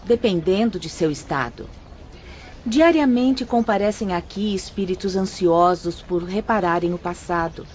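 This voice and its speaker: A gentle female spirit guides newcomers through her department with calm, kindly explanations, as a woman plays her. She speaks in an audio drama at a middling pitch. The recording sounds a little dull, with a faint hiss underneath. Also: lightly acted. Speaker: instructor guiding new apprentices.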